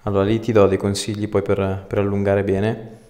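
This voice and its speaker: A man's voice: fairly low pitch, monotone, moderate pace, crowd noise. A man, a chiropractor giving short, informal, reassuring directions to a patient as he works. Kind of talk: hands-on clinical instructions and casual chat with a patient. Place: small indoor treatment room. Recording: echoey room with noticeable background noise.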